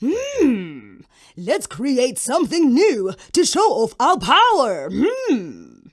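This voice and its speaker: Very slow, high-voiced earth spirit. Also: female voice, huge pitch swings, full character voice. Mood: pondering. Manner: slow, deliberate, weighty, with thoughtful hums. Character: solid, strong, firm female earth spirit. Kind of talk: theatrical children's folktale audio drama.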